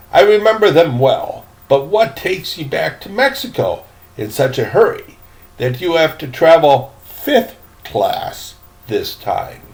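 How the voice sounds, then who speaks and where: Man putting on a genteel old yankee gentleman from a storybook, nostalgic, slow, goes up and down a lot. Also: medium pitch. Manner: old-fashioned, genteel phrasing.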